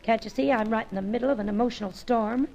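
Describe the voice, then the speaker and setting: A woman's voice: on the high side, fast talker, a little mellow, dull audio, a little hiss or hum, close to an everyday voice. A woman playing a brassy, stubborn bird painter in a pulp radio serial, scolding in sassy old-time slang. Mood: scornful, stormy.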